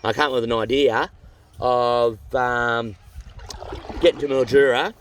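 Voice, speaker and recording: A man's voice: mid-range voice, fairly even intonation, a little slow, a little hiss or hum. A middle-aged Australian man on a long canoe trip, outdoors by a river, talking on in a tired, chatty, rambling way with slang and a slightly runny nose. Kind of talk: rambling casual vlog chat. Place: outdoors by a river among rocky cliffs. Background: birdsong in the bush.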